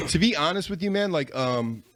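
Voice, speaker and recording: A man's voice: a little high, lively intonation, normal speed, a bit bright, no room sound. A man in his forties hosting a podcast, chatting loosely in slang and laughing often. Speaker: podcast host. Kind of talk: casual podcast chat.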